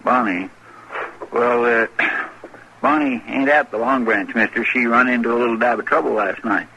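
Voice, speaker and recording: An actor playing a folksy, unhurried deputy with a rural Western American drawl in an old radio western. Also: male voice, mid-range voice, sharp tone. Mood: evasive, casual. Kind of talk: 1950s radio western acting. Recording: noisy old radio recording.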